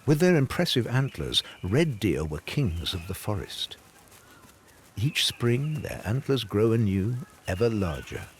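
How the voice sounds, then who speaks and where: A man narrating a scripted nature and folklore documentary, telling it in a measured, dramatic storyteller's way. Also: fairly low pitch, sing-song, a little hiss or hum. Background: clear background music.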